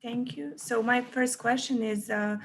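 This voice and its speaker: A woman's voice: on the high side, steady tone, a little slow, low-quality recording. A woman in her twenties from Montenegro, speaking formally as a podcast guest. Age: 20s. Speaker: guest youth ambassador and PhD student. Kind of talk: formal self-introduction and prepared question.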